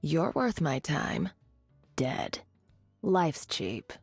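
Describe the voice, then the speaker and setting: An actress playing a cocky, hard-bitten, gold-hungry mercenary warrior in a video game, with a husky, gravelly voice and terse, swaggering delivery. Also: female voice, low voice, sing-song, drawn-out words, dry recording, faint music behind, heavily acted. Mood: cool, menacing. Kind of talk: video game character voice lines.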